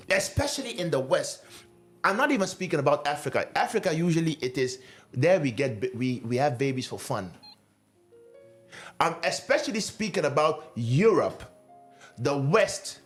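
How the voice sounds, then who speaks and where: A man preaching in an earnest, urgent way, leaning on questions and repeating his words. His voice sits high and rises and falls a great deal. Soft music plays faintly underneath. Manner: earnest, rhetorical questions, repeats key phrases. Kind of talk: sermon.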